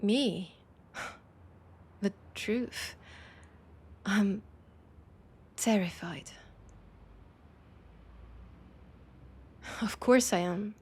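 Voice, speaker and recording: A woman with a French accent voicing a weary, wry female vampire in an intimate audio roleplay, speaking in a breathy, hesitant, confiding way. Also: medium pitch, drawn-out words, long pauses. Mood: anxious, vulnerable. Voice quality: breathy, husky.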